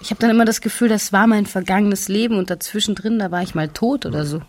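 A woman's voice: medium pitch, fast talker, natural voice. A woman playing a wistful German expatriate, musing in short broken phrases in a naturalistic radio drama.

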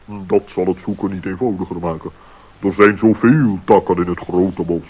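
An actor playing a solemn, self-important old owl in a radio play, with a husky voice. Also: male voice, sits low, fairly even intonation, moderate pace, a little mellow. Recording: dull lo-fi radio play recording.

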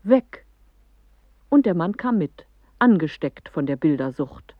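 An actress playing a clever, dreamy woman who recites a poetic radio play monologue in measured, declamatory phrases. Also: fairly low pitch, big pitch swings, dry recording, phone-quality sound. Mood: reflective. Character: clever woman musing in riddles, dreamy storyteller of images.

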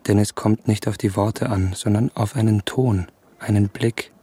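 A man playing an earnest, shy, enamoured young gentleman in a literary radio play, speaking tenderly and dreamily. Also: lowish voice, fairly even intonation, normal speed, slightly rough, dry recording. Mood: dreamy, tender.

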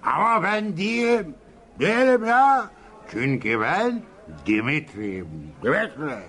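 A man's voice: high-pitched, big pitch swings, slow pace, a bit bright, exaggerated voice. An actor in a radio play voicing a belligerent, boastful drunken man with a drawling delivery. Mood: boastful, hostile. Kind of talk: radio theatre acting.

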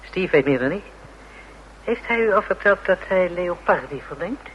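A man's voice: sits high, expressive, moderate pace, muffled recording. An actor playing a sceptical male colleague of a detective in a radio crime drama. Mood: wry, resigned. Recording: faintly noisy old radio recording.

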